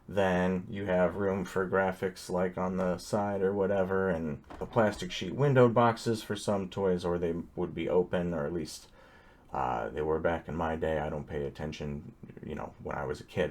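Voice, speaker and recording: A man's voice: low-pitched, a little mellow. A man casually talking through a toy unboxing, rambling easily with many ums and uhs.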